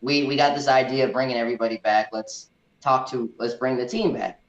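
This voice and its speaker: A man co-hosting a casual movie discussion, speaking haltingly and often repeating words as he works out his points. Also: mid-range voice. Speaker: co-host of a movie review show. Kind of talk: casual film discussion with live comments. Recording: dull, echoey room sound.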